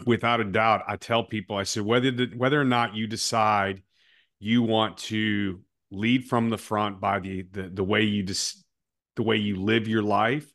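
A man's voice: on the low side, fairly bright tone. A man hosting a conversational interview, speaking in an earnest, motivational way. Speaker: podcast host and coach.

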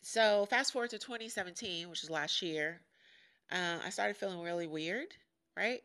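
Podcast host, woman in her 40s from Chicago; deep nasal tone, sharp edge, frank and joking. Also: normal speed, smooth. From Chicago, USA. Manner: frank and joking, laughs often. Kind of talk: casual candid monologue.

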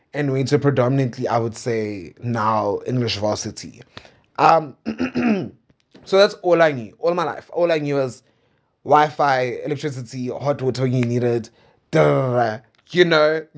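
A man's voice: medium pitch, expressive, moderate pace, lo-fi sound. A South African man in his twenties hosting a personal diary podcast, talking casually and candidly in a conversational way with playful asides. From South Africa, suburban Centurion. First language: Pedi. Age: 20s. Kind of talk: casual confessional monologue.

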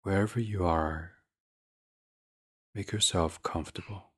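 A man hosting a guided sleep meditation, speaking softly and gently in a near-whisper with long pauses. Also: deep voice, fairly even intonation, slightly rough. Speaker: meditation podcast host.

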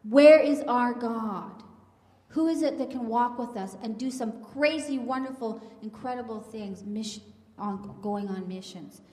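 Woman, preacher, emphatic, fairly high voice, echoey room, long reverb. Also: measured pace, darkish tone. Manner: emphatic, leads the congregation in reading scripture aloud. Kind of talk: sermon to a congregation. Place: church sanctuary. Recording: echoey reverberant church hall.

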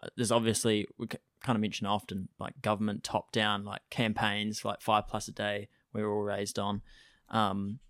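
A man with a low, flat voice hosts a casual podcast, asking questions hesitantly and with many fillers. The recording is dry, with no room sound. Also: smooth, dry recording. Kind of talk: casual discussion.

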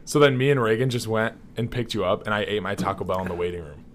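A man's voice: lowish voice, fast, slight background noise. A young man hosting a casual podcast chat with his roommates, talking animatedly and laughing often. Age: young adult. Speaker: podcast host.

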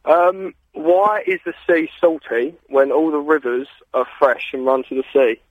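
A man's voice: fairly high pitch, a little slow, husky, no echo. A man calling in to a radio phone-in, puzzled and persistent as he presses his question. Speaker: caller. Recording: dull phone line with loud noise.

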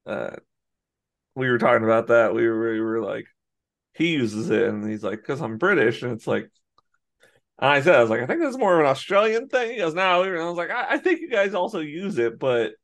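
A man's voice: mid-range voice, sing-song, brisk, a bit bright. An American man co-hosting a podcast, rambling through stories with restarts and frequent laughter. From United States. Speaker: podcast co-host. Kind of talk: casual chat.

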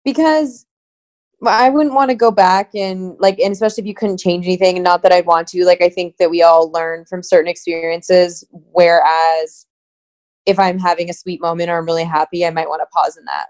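A young woman in casual chat as a guest, blunt and loud, swearing freely. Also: fairly low pitch, fairly even intonation, brisk.

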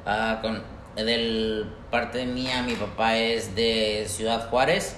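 A young man from the United States with a Mexican-American Spanglish accent, co-hosting a casual podcast in halting Spanish full of fillers and English words. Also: on the low side, fairly even intonation, fairly bright tone. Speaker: podcast co-host. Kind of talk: casual bilingual chat. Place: workplace. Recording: roomy echo, faint background noise.

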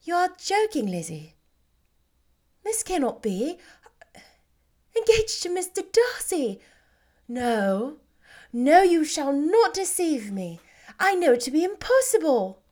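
A woman voicing a gentle, sweet-natured young woman who speaks softly and earnestly. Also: high-pitched, very animated, takes it slowly, long pauses, voice pushed up, heavily acted. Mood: incredulous.

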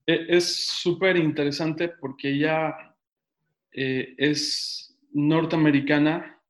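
A man's voice: on the high side, little pitch movement, takes it slowly. A man with a Mexican accent, chatting casually as an interview guest. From Mexico City, Mexico. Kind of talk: casual chat. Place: at home.

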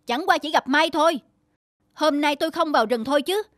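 A woman voicing a dramatic, boastful, guilt-ridden young male hunter in an animated folk tale, given to exclaiming and talking to himself. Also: sits high, a little fast, no room sound, studio quality. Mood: scornful, boastful. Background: music.

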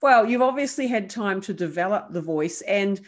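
A woman's voice: mid-range voice, expressive, normal speed. A woman hosting a business podcast, full of enthusiastic encouragement. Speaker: podcast host. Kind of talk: podcast interviewing.

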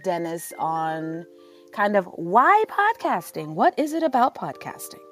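A woman from Sudan moderates a live audio chat in a conversational style. Her voice sits a little low, and she speaks informally and affirmingly, with faint music behind her. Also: very animated, unhurried. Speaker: panel moderator, journalist and podcast host. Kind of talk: conversational live panel hosting.

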